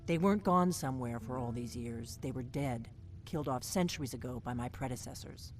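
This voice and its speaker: A woman's voice: extremely low pitch, a little fast. An actress voicing a guarded, driven veteran female agent in a video game, speaking tersely and with command. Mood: grave, urgent. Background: game music.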